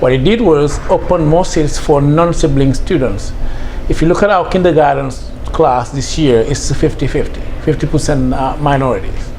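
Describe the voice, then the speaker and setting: A middle-aged man from Senegal, a school head, answering interview questions in a measured, hesitant way. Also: mid-range voice, darkish tone, a little hiss or hum. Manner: measured, thoughtful, with frequent hesitations.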